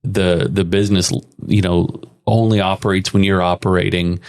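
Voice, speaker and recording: Gravelly low-voiced podcast host. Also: male voice, little pitch movement, moderate pace, darkish tone. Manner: supportive, asks long questions. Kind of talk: podcast interview.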